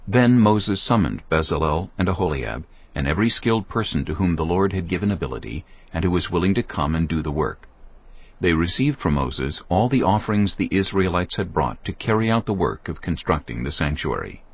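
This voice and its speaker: Deep-voiced male scripture narrator. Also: sing-song, husky. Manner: measured, reverent. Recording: dull studio recording with soft music. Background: soft background music.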